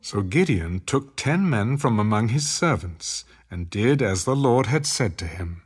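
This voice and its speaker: A man's voice: on the low side, animated, a little slow, breathy edge. A man narrating dramatized scripture from a script, as the storyteller between the characters' lines. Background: music.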